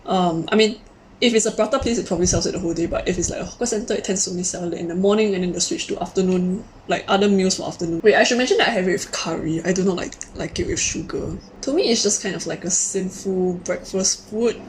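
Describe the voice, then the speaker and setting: A woman's voice: fairly low pitch, quick pace, slight background noise, rough recording. A young woman from Singapore with a Singaporean English accent, co-hosting a casual chat and explaining things at length with lots of "like" fillers. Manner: chatty and explanatory, many "like" fillers. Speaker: podcast co-host.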